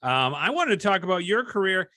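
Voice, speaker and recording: A man's voice: high-pitched, big pitch swings, a little fast, pushed and bright, dry recording, studio quality. An American man hosting a sports broadcasting podcast, interviewing a guest in a conversational way and sharing his own experience.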